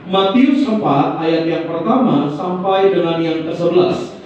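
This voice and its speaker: A man's voice: high voice, measured pace, darkish tone, slight background noise. A man preaching a sermon as pastor, calling on the congregation to repeat after him and answer amen. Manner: call-and-response, prompting the congregation to repeat and say amen. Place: church sanctuary. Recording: echoey church hall, distant dull mic.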